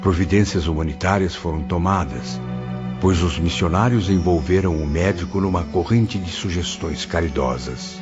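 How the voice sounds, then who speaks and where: A man's voice: low-pitched, moderate pace, muffled recording, close to an everyday voice. A man narrating an audio drama in a formal, solemn, scripted way. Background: music.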